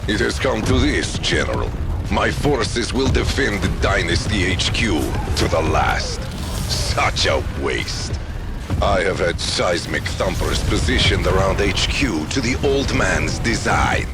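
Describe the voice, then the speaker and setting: An actor playing a weary, bitter military commander in a game cutscene, speaking with grim resignation. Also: male voice, low-pitched, expressive, unhurried, loud music behind the voice. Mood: grim, resigned.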